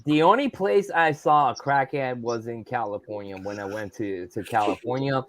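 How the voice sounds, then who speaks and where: A man's voice: mid-range voice, normal speed, fairly bright tone. A man bantering casually, often repeating his words and exclaiming in disbelief. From outside the United States.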